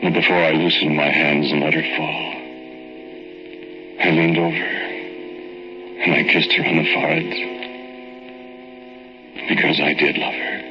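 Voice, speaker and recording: An actor playing a guilt-ridden murderer confessing in halting, repetitive first-person narration, in 1940s radio drama style. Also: male voice, a bass voice, steady tone. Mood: haunted, grim. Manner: halting, repetitive, intimate delivery. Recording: muffled old radio recording, music behind.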